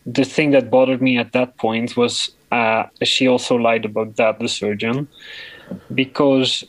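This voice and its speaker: A man with a deep voice giving a personal account in an interview, often pausing and searching for words. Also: little pitch movement, no room sound, low-quality recording. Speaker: interview guest.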